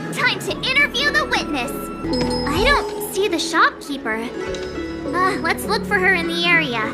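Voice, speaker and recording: A woman's voice: very high voice, sing-song, measured pace, over loud music, full character voice. A woman voicing a perky, cocky teenage girl detective in a video game, bubbly, dramatic and pouty. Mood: perky, curious. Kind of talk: video game character dialogue.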